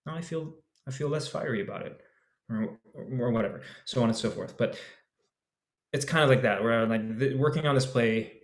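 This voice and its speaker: A halting, reflective man in an echoey room. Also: mid-range voice. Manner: halting, searching for words, many restarts. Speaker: actor in the play. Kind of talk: panel discussion, reflective.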